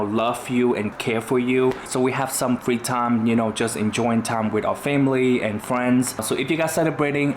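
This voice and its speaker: A young man from Vietnam, speaking English with a Vietnamese accent, chatting casually on his vlog with lots of "you know" fillers. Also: medium pitch. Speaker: YouTuber. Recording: echoey room with faint noise.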